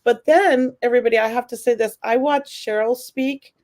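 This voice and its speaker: A woman's voice: fairly high pitch, fairly even intonation, moderate pace, darkish tone. A middle-aged woman co-hosting a spiritual radio talk show, rambling enthusiastically with many fillers and restarts. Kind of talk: casual talk show chat.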